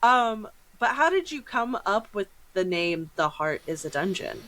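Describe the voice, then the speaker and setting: A woman's voice: mid-range voice, sing-song, unhurried, fairly bright tone, poor audio. A woman hosting a casual podcast interview in an effusive, informal, self-deprecating way, speaking into her own microphone in a room at home. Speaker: podcast host.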